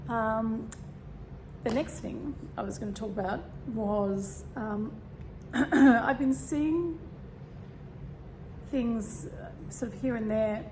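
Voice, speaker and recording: A woman with a slightly croaky voice talking casually and meanderingly about spirituality, with many fillers and throat clearing. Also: fairly high pitch, takes it slowly. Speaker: spiritual vlogger. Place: home room with a fan running. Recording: steady fan hum behind. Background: fan noise.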